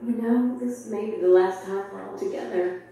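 An actress playing a thoughtful, kindly young student in a theatrical audio drama, gentle and measured. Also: female voice, medium pitch, steady tone, gravelly, lightly acted. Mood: wistful, friendly. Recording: drama playback in echoey room, distant.

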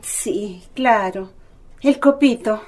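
A woman's voice: medium pitch, takes it slowly, darkish tone. An actress playing a tender, earnest middle-aged mother and devout daughter in a radio drama. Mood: curious, absorbed. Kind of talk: Spanish-language radio drama acting.

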